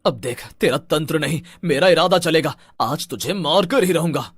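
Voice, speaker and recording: A man's voice: fairly high pitch, animated, moderate pace, breathy edge, dry recording, clean studio sound. A man playing a determined young villager who confronts evil spirits in a cartoon horror story. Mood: defiant.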